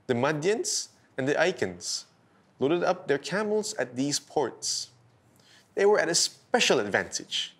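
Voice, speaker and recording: A man hosting and narrating a scripted bedtime story for children in a deliberate, expressive storytelling style. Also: mid-range voice. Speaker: storyteller host.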